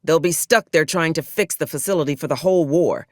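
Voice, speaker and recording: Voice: mid-range voice, fairly quick, no echo, clean studio sound, close to an everyday voice. An actor playing a stern, pragmatic military officer in a video game, wry and coolly menacing, with clipped, formal frontier phrasing. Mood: matter-of-fact, businesslike. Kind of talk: scripted video game dialogue.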